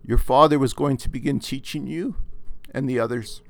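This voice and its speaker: A man voicing an earnest woman who speaks urgently and gravely in a spoken story. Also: mid-range voice, normal speed, no room sound, pitched above the performer's own voice, natural voice. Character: earnest woman recounting a loss.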